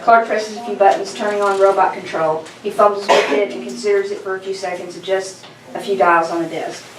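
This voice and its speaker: A woman's voice: mid-range voice, fairly even intonation, fairly quick, noisy background. A woman reading out stage directions as the narrator at a script table read. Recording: roomy, distant mic, chattering group behind.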